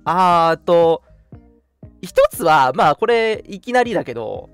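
A man's voice: fairly high pitch, big pitch swings, measured pace, smooth, soft background music. A man co-hosting a live radio show in a casual, chatty, jokingly self-deprecating way.

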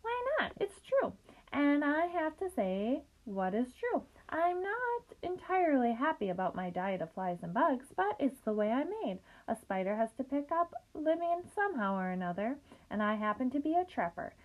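A woman voicing a bold, clever female spider in a storybook reading, speaking in a brisk, high, matter-of-fact way. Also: very animated, rough recording.

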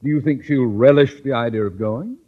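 An actor playing a pompous, stern middle-aged principal in an old American radio comedy, blustering and formal. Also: male voice, medium pitch, a little fast, lightly acted. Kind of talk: 1940s-50s radio situation comedy acting. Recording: dull old radio recording.

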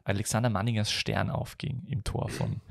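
A low-voiced Austrian man with a gravelly creak, recorded dry with no room sound. He hosts a casual football podcast, speaking haltingly and often searching for his words. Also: steady tone, dry recording. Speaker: podcast host.

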